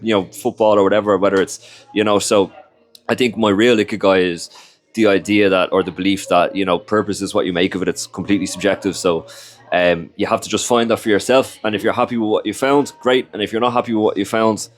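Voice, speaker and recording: A man's voice: fairly low pitch, steady tone, brisk. A young Irish man, whose first language is Irish, chatting casually in an interview and rambling with lots of fillers. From Ireland. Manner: rambling, heavy on "you know" and "like" fillers.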